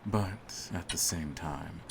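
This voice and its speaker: A cold, smug hitman, acted by a man for an audio roleplay. His voice is extremely deep with a gravelly creak, and he phrases things slowly and theatrically, full of menace. Clear noise runs behind the recording. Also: exaggerated voice. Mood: cold, composed menace. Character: cold, smug male hitman holding his target at gunpoint. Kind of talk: scripted ASMR audio roleplay.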